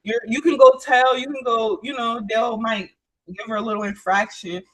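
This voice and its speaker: High-pitched young woman talking candidly. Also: steady tone, normal speed, a bit bright, low-quality recording. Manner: emphatic, slangy, repeats phrases for emphasis. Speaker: interview guest, trade program graduate. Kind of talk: candid casual storytelling.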